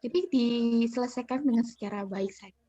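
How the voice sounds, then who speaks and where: A woman playing a calm 35-year-old counselor who leads a group session on a video call and reassures the members. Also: a little high, brisk, no room sound, close to an everyday voice. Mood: steady. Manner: gentle, reassuring, with polite fillers. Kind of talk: student counseling roleplay. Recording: dull, dry video-call audio.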